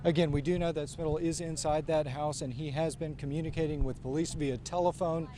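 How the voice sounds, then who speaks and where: A man's voice: a little high, steady tone, a little fast. A man reporting live for TV news from outdoors on a street. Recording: faint outdoor street and vehicle noise.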